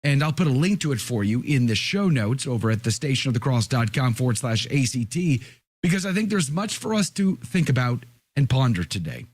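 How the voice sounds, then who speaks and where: A man with a hoarse, raspy voice from a cold reads an article at a measured pace, adding his own comments. His pitch sits in an ordinary middle range. The sound is dry and close, with no room echo. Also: very animated. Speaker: podcast host. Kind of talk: reading an article aloud with commentary.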